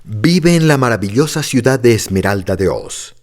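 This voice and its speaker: Male narrator doing a sweet old witch, gentle and lilting. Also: medium pitch, expressive, moderate pace, natural voice. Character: kindly little old witch woman.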